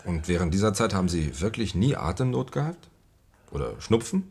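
Radio play casting: probing, patient physician, raspy edge, animated intonation, hesitant explanatory delivery. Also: male voice, low voice, a little fast, full character voice. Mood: patiently probing. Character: patient, probing male hospital doctor, calmly explaining. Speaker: doctor. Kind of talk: German radio play acting.

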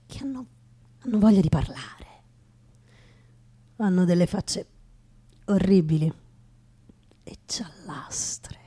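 A woman playing a weary, bitter working man in a radio theatre monologue, husky and breathy, muttering haltingly and often dropping to a whisper. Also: low voice, dark tone, exaggerated voice. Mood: weary, disgusted. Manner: halting, repeating words, muttering.

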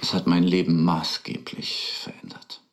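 Serious man, low gravelly voice, measured, matter-of-fact, radio drama interview. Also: little pitch movement.

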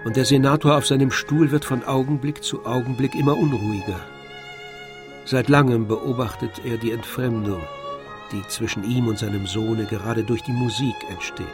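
A man's voice: mid-range voice, steady tone, moderate pace. A man reading scripted literary narration as the narrator of a radio drama. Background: violin and other music.

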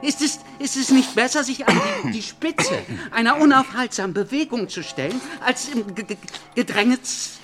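An actor playing an excitable, argumentative young revolutionary who stammers, in a radio drama. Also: male voice, very high voice, normal speed, faint music behind. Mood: agitated pleading. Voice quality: stammering, repeating syllables. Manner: agitated, defensive, pleading.

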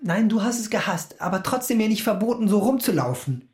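A woman's voice: medium pitch, expressive, fairly quick, darkish tone, lightly acted. A woman playing a protective, hot-headed seventeen-year-old brother in a radio drama, pleading and confrontational. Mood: reasoning. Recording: roomy, echoing sound.

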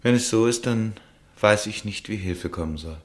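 Low-voiced, troubled nobleman played by a man. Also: close to an everyday voice. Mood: gloomy, resigned. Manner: measured, earnest, formal and literary speech. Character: troubled nobleman, anxious, resigned husband. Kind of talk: German radio play acting.

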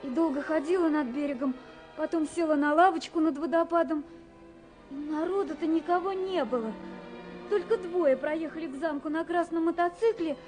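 A high, girlish young woman confides her letters in a wistful, anxious, romantic way. It is a vintage radio drama from the seventies, with music behind her tremulous voice. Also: normal speed, darkish tone. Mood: wistful unease. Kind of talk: 1970s radio drama, reading letters aloud. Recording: 1979 radio recording with music.

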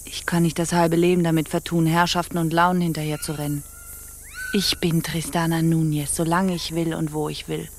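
An actress playing an imperious, mocking pirate captain in a theatrical radio play, brooding and scornful. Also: female voice, sits low, level tone, fairly quick, slight background noise. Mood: scornful, defiant. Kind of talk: stage-like radio play acting.

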